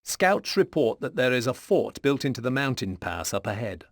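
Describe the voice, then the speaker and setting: A man's voice: mid-range voice, very animated, moderate pace. A man narrating a military campaign in a video game, formal and solemn, with scripted drama. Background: loud guitar music.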